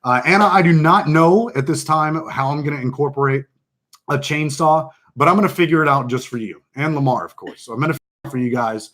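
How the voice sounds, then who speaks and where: A man with a deep voice, casually hosting a fantasy football draft stream, joking and rambling with lots of fillers. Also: quick pace, fairly bright tone. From Texas. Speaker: fantasy football league host. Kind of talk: casual live stream chat.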